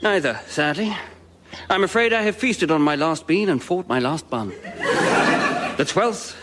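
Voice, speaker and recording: Radio comedy casting: hearty but doomed English aristocrat, animated high delivery, upper-class accent. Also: male voice, a little slow, heavy background noise. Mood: hearty, resigned. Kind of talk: radio sketch comedy acting.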